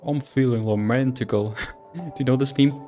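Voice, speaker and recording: A man's voice: medium pitch, normal speed, dark tone. A man giving casual reaction commentary on a game, curious and thinking aloud. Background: faint music.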